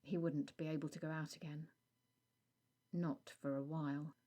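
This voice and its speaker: A woman reading a scripted audio story as narrator, in a measured storytelling delivery. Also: deep voice, little pitch movement, mellow.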